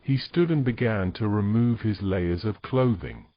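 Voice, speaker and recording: A man serves as narrator, reading scripted fantasy prose. His voice sits low, and the recording sounds a touch dull. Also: a little slow, no echo.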